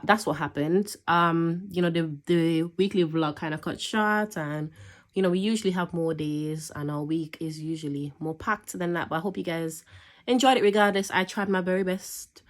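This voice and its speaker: A young woman vlogger chatting casually to camera, still getting over a cold, telling her story with lively rhetorical questions. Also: lowish voice. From England. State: recovering from a cold, slightly congested.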